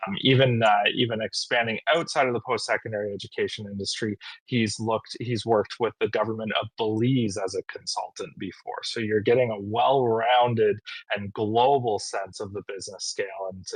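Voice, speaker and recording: A man's voice: lowish voice, slightly rough, no room sound, rough recording. A man hosting a college webinar over a video call, upbeat and chatty with frequent fillers. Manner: friendly, filler-heavy, upbeat. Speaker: webinar host, college recruitment manager. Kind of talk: casual webinar introduction.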